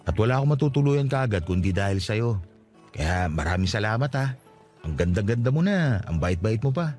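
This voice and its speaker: An earnest Filipino man in his late twenties, fresh from the province. He talks fast with lively swings in pitch, humble and full of flattery, in a conversational radio drama. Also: faint music behind. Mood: grateful, candid.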